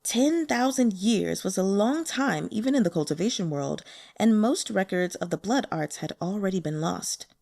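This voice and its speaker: A woman reading fiction aloud as an expressive audiobook narrator. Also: mid-range voice, normal speed, gravelly. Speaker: audiobook reader.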